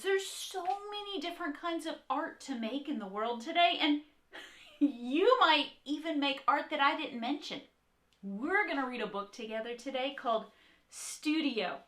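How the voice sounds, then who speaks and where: A woman art teacher reading aloud and talking to young children in an encouraging, expressive, direct way. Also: high-pitched. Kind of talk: children's read-aloud and talk to young kids.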